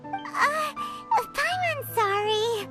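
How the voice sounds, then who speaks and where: Super squeaky little fairy kid for a video game, perky and excitable, game music playing behind. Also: female voice, drawn-out words, heavily acted. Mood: cheeky. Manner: squeaky, third-person self-reference, perky. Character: tiny childlike flying fairy companion, cheeky and excitable.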